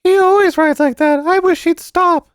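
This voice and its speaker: Man reading as a whiny little girl, high and bright, dry close mic. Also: steady tone, moderate pace, no echo. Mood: complaining. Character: complaining little girl, the sister.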